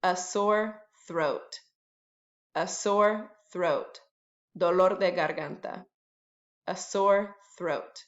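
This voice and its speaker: A woman teacher at home giving a bilingual lesson, saying each English phrase slowly several times and then translating it into Spanish. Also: lowish voice, lo-fi sound. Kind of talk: bilingual classroom lesson, slow repeated drills.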